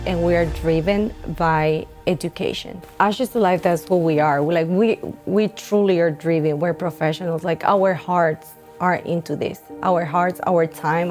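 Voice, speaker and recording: A young woman from Honduras, founder of a nonprofit, giving an earnest, heartfelt testimony with some hesitations. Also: fairly low pitch. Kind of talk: documentary testimony interview.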